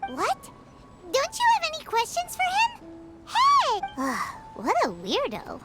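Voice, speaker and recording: A tiny, cheeky girl sidekick from an anime game. The squeaky voice is extremely high and swoops wildly with exclamations, over loud music. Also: huge pitch swings, slow pace, full character voice. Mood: indignant. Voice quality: squeaky, childlike. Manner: speaks of herself in third person, exclamatory. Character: tiny excitable childlike female companion, cheeky and suspicious. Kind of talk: anime-style video game voice acting. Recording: prominent music behind the voice.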